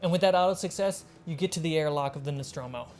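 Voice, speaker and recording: A woman's voice: deep voice, quick pace, darkish tone. A woman running a tabletop role-playing game as game master, describing moves and calling for rolls.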